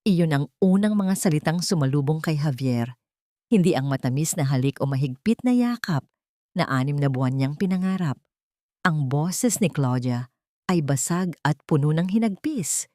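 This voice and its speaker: Low-voiced woman narrating a drama. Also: animated, normal speed, dark tone, no room sound, studio quality.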